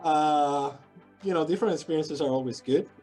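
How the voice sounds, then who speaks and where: A man's voice: fairly high pitch, normal speed, a little mellow, faint music behind, low-quality recording. A middle-aged man from Puerto Rico, a sociology professor talking as a guest in a casual interview, thoughtful and hesitant with many restarts.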